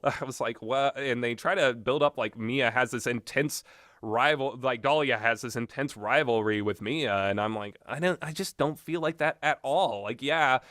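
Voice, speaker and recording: A man giving rambling, opinionated game commentary in a blunt, exasperated, sweary and halting manner. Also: medium pitch, a bit bright, no room sound, clean studio sound. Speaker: video game reviewer.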